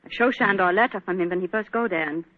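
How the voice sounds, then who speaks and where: An actress with a German accent playing an earnest, troubled young woman in 1940s radio drama, speaking formal, halting English. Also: mid-range voice, natural voice. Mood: distressed. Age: young adult. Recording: muffled, worn 1940s radio recording.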